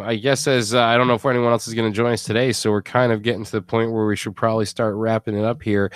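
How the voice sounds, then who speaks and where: A man's voice: mid-range voice, clean tone, no echo, studio quality. A man hosting a casual podcast discussion, talking in a rambling, conversational way that trails off mid-thought. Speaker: podcast host. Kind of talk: casual post-show discussion.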